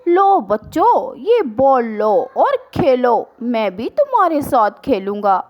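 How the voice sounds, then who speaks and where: A woman's voice: high voice, sing-song, highs cut off, heavily acted. A woman voicing a sly, coaxing male crow who preys on children in a cartoon story. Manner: drawn-out, wheedling.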